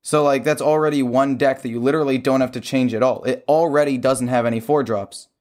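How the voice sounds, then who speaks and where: Hoarse man hosting casual game talk. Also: mid-range voice, steady tone, fairly quick, a little mellow. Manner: conversational, explains his opinions. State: hoarse from a sore throat. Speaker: gaming show host. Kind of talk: casual chat about a card game.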